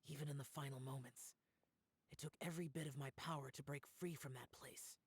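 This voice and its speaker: Smooth, brooding young immortal warrior. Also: male voice, medium pitch, steady tone, no echo. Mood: grave, reflective. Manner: grave, measured, reserved. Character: solemn ancient yaksha warrior, youthful male, brooding and stoic. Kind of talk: video game voice acting.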